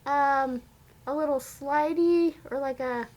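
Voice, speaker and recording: A woman's voice: sits high, takes it slowly, a little mellow. A woman chatting casually and playfully as a guest co-host. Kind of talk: casual chat.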